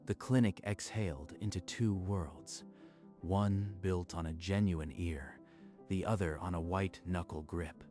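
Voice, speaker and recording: A man narrating a scripted story in a measured, even manner. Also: low-pitched, faint music behind.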